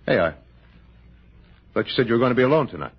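An actor playing a tough, sardonic American man in a 1960 radio crime drama, with a husky voice and a terse, wry delivery. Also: mid-range voice, sing-song, fast, noisy background. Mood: sardonic, menacing. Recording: dull vintage radio recording.

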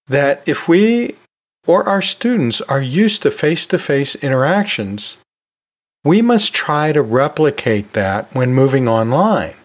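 A man hosting a solo teaching podcast, giving conversational advice in an encouraging, lightly humorous and emphatic way. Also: mid-range voice. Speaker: podcast host and college teacher.

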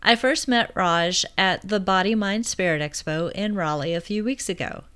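A woman's voice: mid-range voice, normal speed, fairly bright tone, smooth. Lady podcast host, upbeat scripted intro then friendly questions. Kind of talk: podcast interview.

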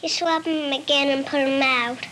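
A woman's voice: high voice, steady tone, moderate pace, pushed and bright. A female interviewee explaining something off the cuff on a 1950s tape. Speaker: street interviewee. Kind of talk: unscripted explanation. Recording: noisy 1950s location tape.

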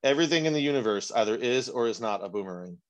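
Male co-host, irreverent jokester, pitch jumps around. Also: expressive, very fast. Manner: crude, wisecracking. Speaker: podcast co-host. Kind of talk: casual chat.

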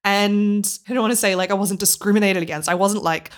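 An Australian woman in her thirties, a podcast guest telling stories in casual chat, animated and laughing often. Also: mid-range voice, a little fast. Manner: animated storyteller, laughs often, acts out voices.

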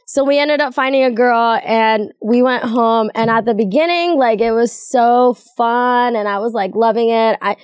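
A young woman from the Middle East, a native Farsi speaker, chatting candidly as a podcast guest. Her voice sits a little high and sounds close and dry, and she laughs often as she tells her stories. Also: normal speed, few pauses, smooth, dry recording. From Middle Eastern. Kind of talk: casual podcast chat.

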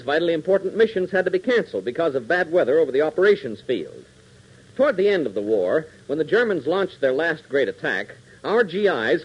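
A man's voice: a little high, breathy edge. A man announcing and narrating a 1940s radio adventure serial, speaking emphatically and in a folksy way to young listeners. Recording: dull, lo-fi 1940s radio recording.

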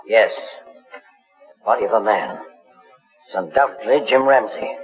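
Shrewd, authoritative 1940s radio detective. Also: male voice, fairly low pitch, animated, husky, soft background music, heavily acted. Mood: intent. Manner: measured, authoritative. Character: calm, shrewd male investigator questioning a suspect. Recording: dull old 1940s radio recording.

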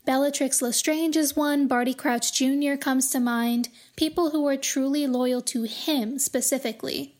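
A woman hosting a podcast, giving measured, articulate commentary on a fantasy book series in a scripted, explanatory style. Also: high voice, a little mellow. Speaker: podcast host.

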